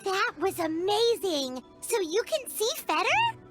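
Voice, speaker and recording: A woman voicing a small, excitable childlike fairy companion in a video game, squeaky and given to exclamations. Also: a little slow, music in the background, exaggerated voice. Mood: curious. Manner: exclamatory, speaks of self in third person.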